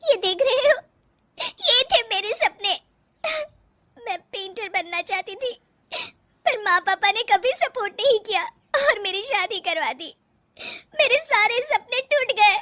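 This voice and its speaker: A woman's voice: very high voice, animated, unhurried, bright, forceful tone, voice pushed up, exaggerated voice. A woman voicing an unhappy young wife, a frustrated painter, who turns curt, angry and tearful. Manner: sulky, then sobbing and pleading.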